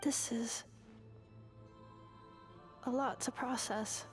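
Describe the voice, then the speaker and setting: A polite, earnest android for an anime game. Her voice is on the high side and her phrasing is clipped, measured and logical. Music plays clearly behind her. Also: steady tone. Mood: troubled. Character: polite female robot, logical, earnest and dutiful.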